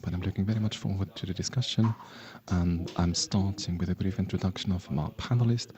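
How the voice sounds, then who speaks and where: Man as a stand-in panel moderator, deep mellow voice, halting delivery with fillers. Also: fairly even intonation. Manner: hesitant, self-deprecating, with frequent fillers. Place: public event hall.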